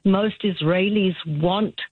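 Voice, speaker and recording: A man's voice: high-pitched, no room sound. A man with an Australian accent calling in to a discussion show, speaking earnestly and restarting his sentences often. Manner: earnest, halting with many restarts. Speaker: caller. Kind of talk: call-in discussion. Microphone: telephone. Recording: phone line.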